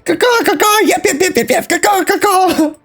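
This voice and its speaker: A silly cartoon bird. The voice leaps into a piercing falsetto, repeating goofy caws and squawks. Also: male voice, animated, normal speed, a bit bright, soft background music, heavily acted. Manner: repetitive squawking.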